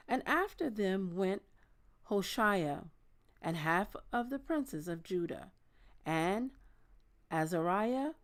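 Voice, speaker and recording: A woman hosting a Bible reading, speaking in a measured, deliberate manner as she reads aloud and talks informally to listeners. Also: medium pitch. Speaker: host of a daily Bible reading. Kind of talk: reading scripture aloud with casual asides.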